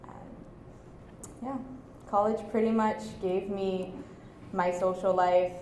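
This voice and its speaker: A woman's voice: mid-range voice, steady tone, slow, a little mellow, slight background noise. A young woman in her twenties, a scholarship recipient, answering questions on stage in an earnest, personal way. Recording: echoey room with faint ambience.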